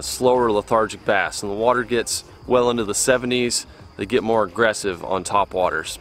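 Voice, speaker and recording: A young man hosting a fishing video, explaining a lure in relaxed, conversational talk to camera outdoors by a lake. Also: on the low side, a little hiss or hum. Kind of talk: casual instructional talk to camera.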